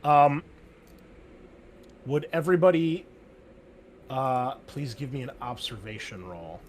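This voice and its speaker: A man acting as game master, narrating a tabletop roleplaying game and explaining things in a conversational, halting way. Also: mid-range voice.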